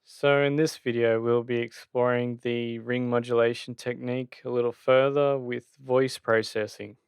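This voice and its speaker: A man presenting a casual software tutorial on sound design, haltingly thinking aloud as he demonstrates, on a close microphone. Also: medium pitch, level tone, smooth, no echo.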